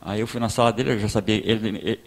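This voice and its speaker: A man with a southern Brazilian accent from Rio Grande do Sul, preaching informally in a conversational, halting storytelling way, repeating words and acting out dialogue. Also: lowish voice, fairly even intonation. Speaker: pastor giving a talk. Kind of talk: informal sermon with personal testimony.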